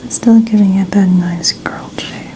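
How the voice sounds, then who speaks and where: A woman softly narrating hair styling for ASMR in a breathy near-whisper, gentle and soothing. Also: medium pitch, steady tone, normal speed, slight background noise, rough recording. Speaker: ASMR creator.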